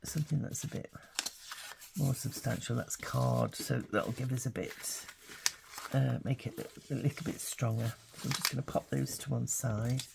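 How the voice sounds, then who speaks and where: Croaky, gravelly older woman crafting. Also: a bass voice, moderate pace, background noise. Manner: chatty, relaxed, thinking aloud. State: croaky from hay fever. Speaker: craft channel host. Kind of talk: casual craft tutorial.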